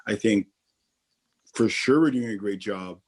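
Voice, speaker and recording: A man's voice: lowish voice, unhurried, a little mellow, breathy edge. A man on a roundtable panel speaking earnestly and reflectively. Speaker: roundtable panelist, collective co-founder. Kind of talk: casual discussion.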